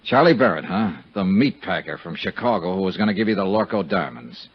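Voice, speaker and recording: An actor playing a tough, cynical insurance investigator in a 1950s radio detective drama, with a hard-bitten, wry, clipped delivery. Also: male voice, deep voice, animated, brisk, husky. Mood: cynical, probing. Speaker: lead actor and narrator. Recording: muffled, hissy 1950s radio recording.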